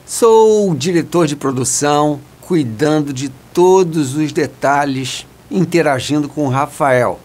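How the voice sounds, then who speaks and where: Production director guy, talks slowly, voice a bit high, prepared testimonial. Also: a little mellow.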